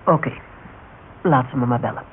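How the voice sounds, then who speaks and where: An actor playing a shrewd, dryly ironic private investigator in a radio drama, casual and teasing, with speech. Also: male voice, mid-range voice, normal speed, lightly acted. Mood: dry, probing. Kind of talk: Dutch radio drama dialogue. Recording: dull, hissy old radio recording.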